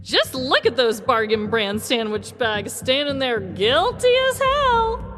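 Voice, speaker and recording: A sassy American online heckler acted out by a woman, pitched high and dripping with exaggerated disbelief. The delivery is slangy and mocking, as in a comic podcast reading, with faint music underneath. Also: sing-song, slow pace, fairly bright tone, exaggerated voice. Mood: sneering mockery. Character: sassy, mocking internet commenter roasting a post.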